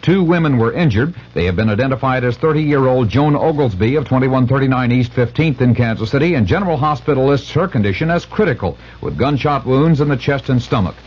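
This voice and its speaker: A man's voice: medium pitch, expressive, a little fast, hardly any pauses, a little mellow, husky. An American man reading scripted local news as a radio anchor on a muffled old AM broadcast.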